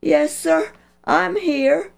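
A woman's voice: drawn-out words, gravelly, heavily acted. Woman voicing a scared polite young boy. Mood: frightened, earnest. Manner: high, pleading, breathless. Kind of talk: storybook reading.

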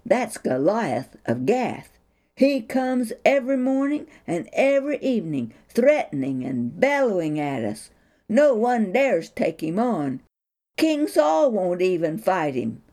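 A woman's voice: medium pitch, big pitch swings, takes it slowly, dark tone. A woman voicing frightened soldiers in a storybook reading, explaining things to a newcomer with urgency. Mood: urgent. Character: frightened male soldiers in a trench.

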